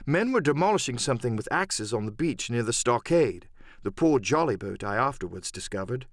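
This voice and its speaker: A man's voice: mid-range voice, very animated, fairly quick, dry recording, studio quality. A man reading a first-person adventure story as a dramatic scripted audiobook narration.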